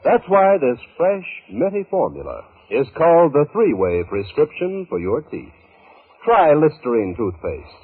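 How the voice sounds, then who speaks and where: A man announcing an old-time radio advertisement in an emphatic, salesmanlike way, with a husky voice. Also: on the high side, wildly swooping pitch, measured pace. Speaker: commercial announcer. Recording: muffled vintage radio recording.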